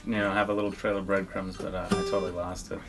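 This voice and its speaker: A man's voice: low voice, little pitch movement, fast, loud music behind the voice. A man chiming in casually on a podcast. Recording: noisy, distant-sounding pickup.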